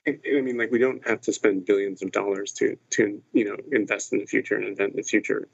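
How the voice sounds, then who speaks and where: A man speaks quickly in a low, husky voice, making informal executive remarks. The conference-call recording is slightly dull. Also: fairly even intonation. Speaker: company executive on an earnings call.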